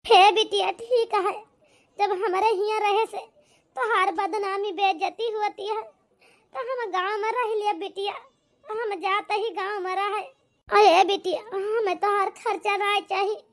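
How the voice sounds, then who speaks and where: A woman voicing a humble, apologetic old village mother in an amateur cartoon, with an Awadhi accent, a pleading manner and a pitched-up voice. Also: fairly even intonation, a little slow. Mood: hurt, resigned. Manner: pleading, repeatedly addressing her daughter tenderly. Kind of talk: amateur cartoon comedy-drama acting.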